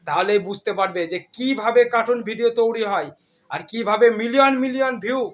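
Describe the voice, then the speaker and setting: A man's voice: very high-pitched, fairly quick, a bit bright. A man hosting a casual step-by-step tutorial, instructing his viewers like friends. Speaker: tutorial host.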